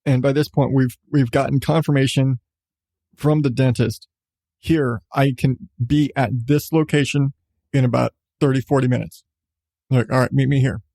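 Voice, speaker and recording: Male host, thirties, mellow with vocal fry, casual profane storyteller who repeats phrases for emphasis. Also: mid-range voice, fairly even intonation, normal speed, dry recording. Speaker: podcast host.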